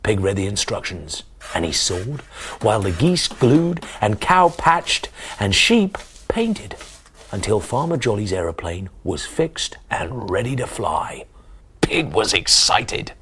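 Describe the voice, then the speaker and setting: A man in his forties reading a children's bedtime story expressively, with dramatic, hushed emphasis. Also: on the low side, very animated, takes it slowly, slight background noise. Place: small TV studio kitchen set, indoors. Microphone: lapel mic.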